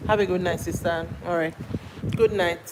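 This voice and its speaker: A woman's voice: fairly low pitch, fairly even intonation, normal speed. Chatty Nigerian woman over traffic noise. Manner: chatty, greets viewers by name, answers comments aloud. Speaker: live stream host. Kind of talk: casual live chat reading viewer comments. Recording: noisy background with passing traffic.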